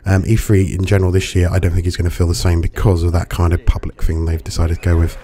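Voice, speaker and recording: A hungover man with a gravelly voice and dry throat, rambling through casual, sweary commentary as a gaming reviewer. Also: low-pitched, monotone, fast talker, mellow. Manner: rambling, chatty, swears freely. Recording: music playing underneath.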